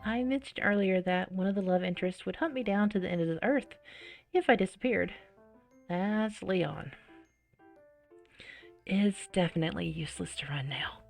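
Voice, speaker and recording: A woman reading a visual novel aloud as a let's-player, expressive and dramatic with reactive asides. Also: on the low side, normal speed, gravelly, pauses often. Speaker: video game let's-player. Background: game music.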